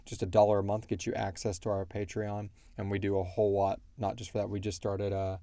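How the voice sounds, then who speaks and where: A man hosting a casual podcast Q&A, thinking aloud in a halting way. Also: fairly low pitch, little pitch movement, dark tone, no echo. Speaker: podcast host and show creator. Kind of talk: casual Q&A chat.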